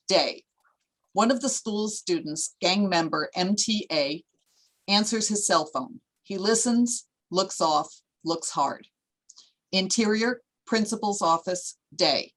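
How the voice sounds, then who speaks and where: Low-voiced woman reading stage directions. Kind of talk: scripted screenplay narration.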